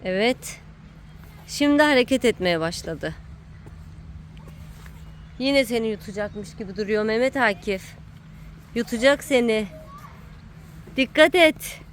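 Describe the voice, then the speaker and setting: High-voiced woman coaxing a child outdoors. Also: lively intonation, takes it slowly, pauses often. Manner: encouraging, teasing, coaxing. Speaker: adult filming and cheering on a child at play. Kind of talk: casual playful running commentary. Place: outdoor playground. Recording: noisy outdoor home-video recording.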